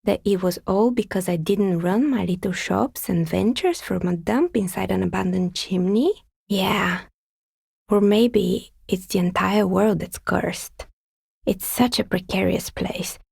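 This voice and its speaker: A woman playing a skeptical, world-weary artisan in a video game, with dry, sardonic and politely measured delivery. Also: medium pitch, vocal fry, darkish tone, slightly rough, no room sound, exaggerated voice. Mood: resigned, reflective. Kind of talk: video game dialogue acting.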